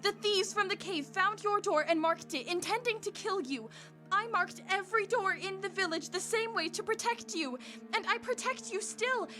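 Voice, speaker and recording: A woman's voice: extremely high pitch, normal speed. A woman playing a loyal, bold young servant girl in a radio play, speaking in earnest, formal diction over music. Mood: earnest, firm.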